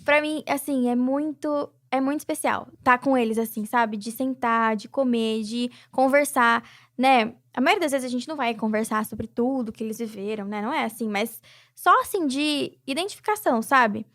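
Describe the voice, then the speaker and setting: Young woman, slightly high voice. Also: normal speed, fairly bright tone. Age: young adult. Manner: reflective, many filler tags. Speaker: guest, NGO president. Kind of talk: casual interview talk.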